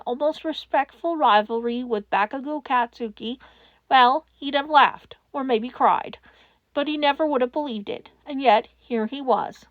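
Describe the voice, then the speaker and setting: A woman reading a fan fiction story aloud in expressive scripted narration. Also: high-pitched, dry recording, muffled recording.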